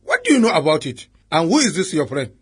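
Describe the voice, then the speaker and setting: An actor with a Nigerian accent playing a cynical, patronising senior police chief in a radio drama, theatrical and full of proverbs. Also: male voice, on the high side, huge pitch swings, fast, slightly rough, exaggerated voice. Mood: wary, bantering.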